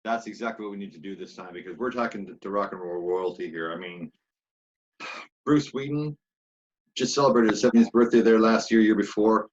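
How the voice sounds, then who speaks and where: A man's voice: on the low side, brisk, highs cut off. A man co-hosting a music talk show, chatting casually as he introduces and questions a guest.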